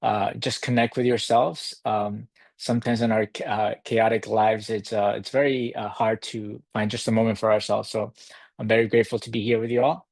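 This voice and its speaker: A man from Ecuador hosting a live virtual workshop, talking in a relaxed, halting way with many fillers. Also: on the low side, vocal fry, no room sound. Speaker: workshop host. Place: home in a city. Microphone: computer mic on a video call.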